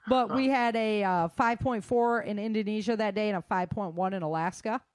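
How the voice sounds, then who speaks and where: A woman's voice: mid-range voice, moderate pace, clear, resonant tone, dry recording, studio quality. A woman hosting a show, running through news in an informal, slangy way with chatty asides. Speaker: host of a survival news show.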